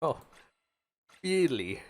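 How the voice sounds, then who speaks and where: A man's voice: on the high side, sing-song, extremely slow. A man giving casual, playful commentary as he plays a video game, full of offhand asides. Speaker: streamer reacting to a game. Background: game music.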